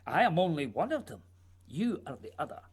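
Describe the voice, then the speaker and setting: An actor playing an intense, whimsical playwright in a radio play, theatrical and emphatic, with a husky voice. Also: male voice, fairly high pitch, big pitch swings, a little fast, full character voice. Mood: insistent. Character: intense, whimsical Scottish playwright, insistent and dreamy. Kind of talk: theatrical radio drama acting.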